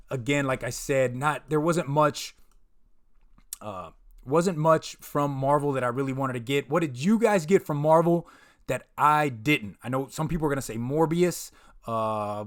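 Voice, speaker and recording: A man hosting a live comic review stream in casual chat, laid-back and slangy, often stumbling and repeating words. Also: medium pitch. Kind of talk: casual livestream chat, reading viewer comments.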